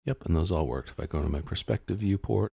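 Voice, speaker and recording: A man narrating a casual software tutorial as an instructor, informally thinking aloud through each step. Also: sits low, fast talker, creaky, breathy edge, dry recording, studio quality.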